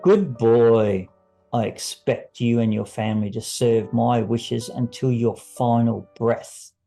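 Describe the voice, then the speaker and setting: A man's voice: medium pitch, takes it slowly, gravelly, dark tone, faint music behind. A man voicing a cold, cruel, imperious male ruler with a commanding, condescending manner in an audio story. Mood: smug.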